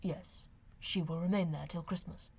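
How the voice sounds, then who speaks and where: Woman doing a low-voiced, reserved gentleman. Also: fairly even intonation, moderate pace, breathy edge. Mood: polite, reserved. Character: reserved, courteous young gentleman, brief and grave. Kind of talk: audiobook character acting of a classic novel.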